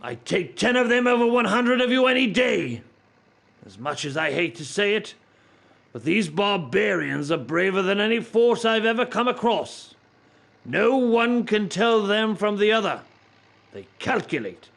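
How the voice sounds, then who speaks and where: Gravelly, high-pitched military commander acted by a man. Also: lively intonation, measured pace, a bit bright, exaggerated voice. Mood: stern warning. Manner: stern, barking orders. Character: commanding, ruthless military officer briefing his soldiers. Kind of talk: radio play acting.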